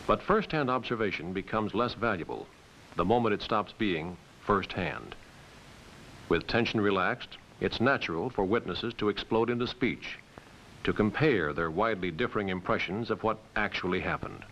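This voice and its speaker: A man reading scripted documentary narration in a measured, authoritative way. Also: lowish voice, fairly bright tone. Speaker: training film narrator. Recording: muffled hissy old film soundtrack.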